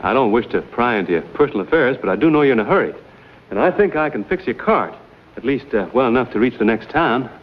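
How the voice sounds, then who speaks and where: An actor playing an easygoing, helpful American frontiersman in a period TV drama, talking in a relaxed drawl with hesitant uhs. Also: mid-range voice, fast talker, muffled recording, natural voice.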